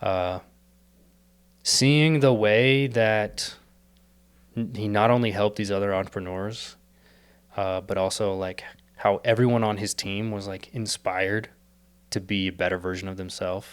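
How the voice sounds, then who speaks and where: Young man, low smooth voice, earnest, rambling, fillers, voice slightly worn. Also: fairly even intonation, unhurried. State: losing his voice. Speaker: podcast guest, startup co-founder. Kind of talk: casual reflective interview talk.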